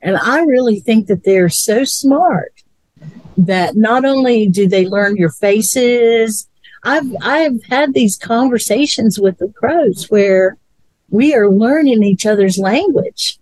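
Woman thinking out loud, slow, repeats words, dry close sound. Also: fairly high pitch, expressive, no echo, rough recording. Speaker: co-host. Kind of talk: casual chat.

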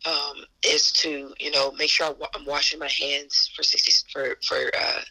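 A hoarse woman, an assistant basketball coach, answering interview questions earnestly and repeating phrases for emphasis. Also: low-pitched, flat delivery, moderate pace, pushed and bright, rough recording.

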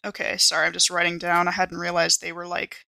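Bright-voiced woman playing a tabletop game. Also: fairly low pitch, completely flat delivery, brisk, vocal fry, slight background noise. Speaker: player in a tabletop roleplaying game. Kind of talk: casual improvised game chat.

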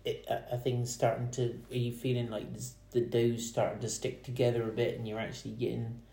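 A man from England hosting a dinner table conversation, encouraging and asking gentle questions. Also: medium pitch, completely flat delivery, fairly quick, creaky. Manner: encouraging, lots of listening noises. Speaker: host. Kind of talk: casual dinner table chat. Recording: roomy with faint noise.

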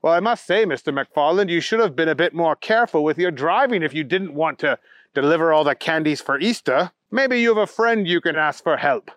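A man's voice: a little high, expressive, fairly quick, fairly bright tone, no echo. A man voicing a fussy, pompous, indignant male Easter Bunny in a children's story, with prim and formal lecturing. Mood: insistent, aggrieved.

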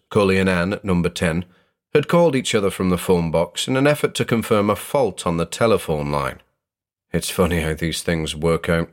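A man narrating a first-person horror story in a measured, dramatic way. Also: low-pitched.

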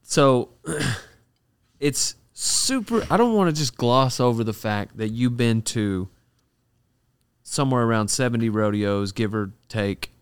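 A man's voice: medium pitch, expressive, slow, clear, resonant tone, no echo. A man hosting a podcast, asking questions in a casual, conversational style. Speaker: podcast host, interviewer.

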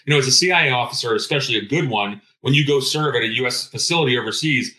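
A middle-aged man shares personal stories in an interview. He talks quickly in a bright, forceful voice, with an earnest, conversational delivery. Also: medium pitch. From born in Greece. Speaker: interview guest, retired intelligence officer.

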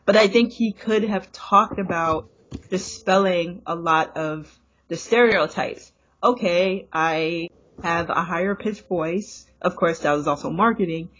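A woman co-hosting a podcast, giving measured, analytical opinions in casual conversation. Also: fairly low pitch, a bit bright, breathy edge. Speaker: podcast co-host. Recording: dull lo-fi recording.

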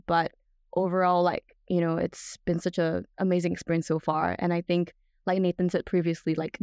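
A woman's voice: fairly low pitch, a little mellow, clean tone, no echo, studio quality. A woman in her twenties from Singapore, chatting casually as a guest on a podcast. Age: 20s. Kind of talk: casual interview chat.

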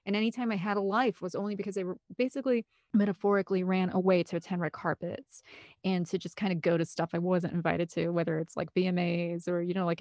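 A woman's voice: lowish voice, no room sound, clean studio sound. A woman speaking as an interview guest, talking candidly and emphatically in a rambling, opinionated way. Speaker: interview guest, film composer and director.

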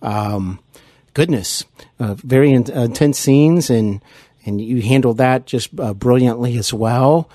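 A man's voice: mid-range voice, measured pace, warm tone, no echo. A man with a mid-range voice hosts an interview podcast in a dry recording. He speaks conversationally and effusively, stopping and repeating words as he feels for the next phrase.

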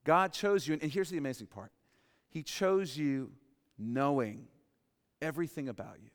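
A male pastor delivers his sermon with a smooth, resonant voice, repeating key phrases and dropping quiet to drive them home. His voice sits a little higher than most men's. The sound rings with long reverb from a large hall. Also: lively intonation, normal speed. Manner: emphatic, repeats key phrases, drops to soft emphasis.

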